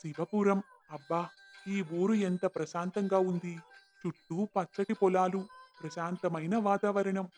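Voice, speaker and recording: Humble, devout poor villager played by a man, high-pitched, sincere, cartoon folk tale with soft music behind. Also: steady tone, measured pace. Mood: quietly content. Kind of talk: cartoon moral-story acting. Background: background music.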